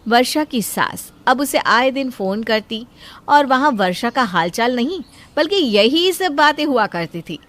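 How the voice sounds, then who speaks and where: A woman's voice: fairly high pitch, lively intonation, measured pace, a bit bright. A woman narrator telling a family story in scripted narration.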